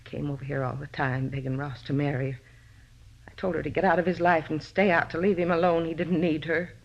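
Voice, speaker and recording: A woman's voice: sits low, fairly even intonation, fairly quick. An actress playing a possessive, middle-aged faded female star in a 1950s radio drama, pleading and justifying herself bitterly. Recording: dull vintage radio broadcast recording.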